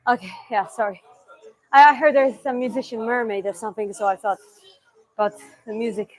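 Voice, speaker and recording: A woman's voice: a little high, fairly even intonation, brisk, low-quality recording. A young woman with a Georgian accent, chatting loosely on a live stream, answering viewer questions and talking through moves with restarts. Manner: chatty, loose, with sound-effect "bum"s and restarts. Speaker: martial arts streamer. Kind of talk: live-stream Q&A and technique demo.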